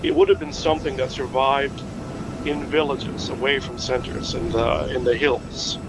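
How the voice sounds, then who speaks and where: A man playing a learned, hesitant history scholar in a naturalistic fiction podcast, speaking in a halting, pedantic way. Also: mid-range voice, level tone, background noise, close to an everyday voice. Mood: musing.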